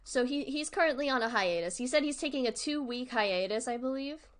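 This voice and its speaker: A woman's voice: high-pitched, animated, a little fast. A woman hosting a livestream, talking casually to viewers in a chatty, emphatic way and repeating points for emphasis. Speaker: streamer hosting a discussion.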